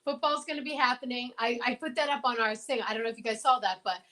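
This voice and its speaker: A woman co-hosting a radio show with lively casual banter, excitable and playful, often exclaiming. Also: a little high, quick pace.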